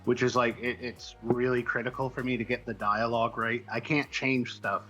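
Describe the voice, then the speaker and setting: A man speaking as a guest writer in a casual interview, answering in a hesitant, halting way with trailing asides. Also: medium pitch, little pitch movement, sharp tone, faint music behind, rough recording. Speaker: comic book writer, guest.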